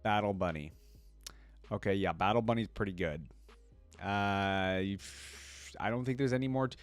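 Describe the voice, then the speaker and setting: Casual low-voiced man thinking aloud. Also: no echo. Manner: informal, slangy, thinking aloud. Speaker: reaction video host. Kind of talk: casual reaction commentary.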